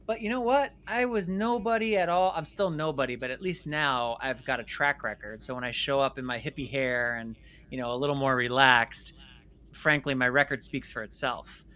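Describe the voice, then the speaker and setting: A man's voice: mid-range voice, normal speed, pushed and bright. A man speaking as an interview guest in a conversational storytelling style, emphatic and repeating phrases for stress. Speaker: interview guest, filmmaker and former stage actor.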